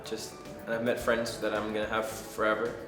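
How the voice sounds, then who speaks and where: A man's voice: on the low side, monotone, a little fast. A young man from Jamaica, a culinary student and chef talking earnestly in an interview testimonial, with frequent ums. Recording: echoey room with background music.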